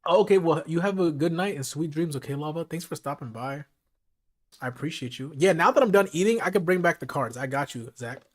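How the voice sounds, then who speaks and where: Hoarse man chatting with his stream. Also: fairly high pitch, expressive, fairly quick. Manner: casual, chatty, talks straight to his chat. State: hoarse from hours of talking. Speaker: live streamer. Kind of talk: late-night live-stream chat.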